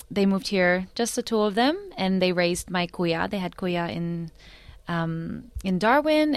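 Young Australian woman, low voice, dry sound. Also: clean tone, dry recording. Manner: thoughtful, many fillers and restarts. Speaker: guest. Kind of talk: casual interview.

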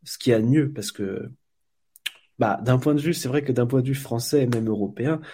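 A man's voice: medium pitch, fairly quick, mellow, slight background noise. A man from France, a volunteer football blogger, answering interview questions in a hesitant way, with many fillers and restarts.

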